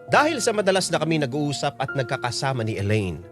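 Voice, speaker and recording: An actor playing a gentle, self-effacing middle-aged Filipino man in a radio drama, with a soft, earnest delivery and a Filipino accent. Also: medium pitch, sing-song, fast, natural voice. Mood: conflicted, confessional. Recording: music behind the voice.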